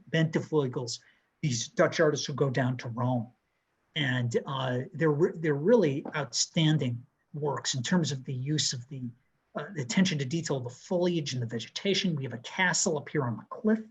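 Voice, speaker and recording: A man in his thirties co-hosting a museum talk over a video call, walking viewers through artworks in a measured, descriptive way. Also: medium pitch, slightly rough. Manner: measured, descriptive, pointing out details. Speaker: museum co-host presenting artworks. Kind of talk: art history talk over slides. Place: room with bookshelves.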